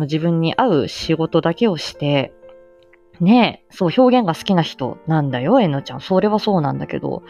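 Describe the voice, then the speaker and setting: A woman's voice: fairly low pitch, a little mellow. A woman hosting a casual live audio stream, chatting and reminiscing in a self-deprecating way with frequent laughter. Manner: self-deprecating, laughs often while talking. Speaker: amateur voice drama actor. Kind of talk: casual live chat, reminiscing and answering listeners. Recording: muffled lo-fi stream with background music. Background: background music.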